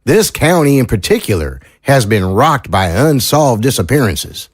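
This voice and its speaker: A man as a fair-minded sheriff, expressive, close-sounding. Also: medium pitch, measured pace, husky, dry recording. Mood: reasoning, firm. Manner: reasoned, respectful. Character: fair-minded, protective, reasonable male sheriff. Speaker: voice actor. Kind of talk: scripted audio drama acting.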